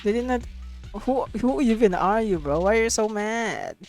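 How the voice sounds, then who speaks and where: Expressive woman streamer, casual let's play. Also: fairly high pitch, normal speed. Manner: expressive, informal, exclamatory. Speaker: streamer playing a story game. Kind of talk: casual let's play, reading dialogue and reacting. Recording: faint game music behind.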